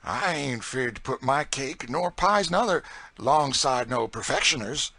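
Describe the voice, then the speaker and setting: A male narrator plays an enslaved woman who cooks, motherly and eager. The voice is lifted high and pushed out loud and bright, in a folksy dialect full of emphatic exclamations. It belongs to an audiobook story. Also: animated, measured pace, vocal fry, no room sound, full character voice. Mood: coaxing. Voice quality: folksy dialect speech with dropped sounds. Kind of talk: audiobook character dialogue.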